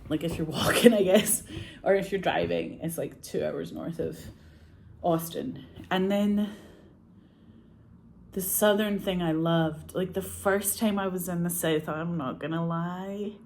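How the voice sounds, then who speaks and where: A woman's voice: lowish voice, fairly even intonation, measured pace, creaky, a little mellow, a little hiss or hum. A Scottish woman telling stories in a rambling, chatty way, laughing often and saying "like" a lot. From Scotland. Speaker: actress and filmmaker. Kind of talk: casual chat.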